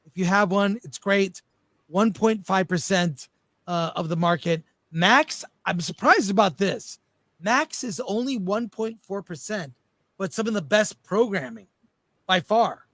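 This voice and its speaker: High-voiced man hosting a panel talk. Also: expressive, a little slow, fairly bright tone, dry recording. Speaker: host of panel show. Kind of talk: presenting statistics in casual panel debate.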